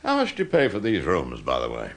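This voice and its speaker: An actor playing a proud, sardonic older office veteran with an English accent in a British radio drama, blunt and suspicious, given to muttered interjections. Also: male voice, deep voice, wildly swooping pitch, quick pace, full character voice. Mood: grumbling, prying.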